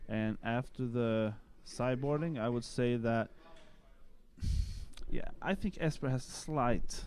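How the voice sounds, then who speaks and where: A man's voice: medium pitch, takes it slowly, a little mellow, clean tone. Spanish-accented man doing casual commentary. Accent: Colombian Spanish. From Colombia. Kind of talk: live game commentary.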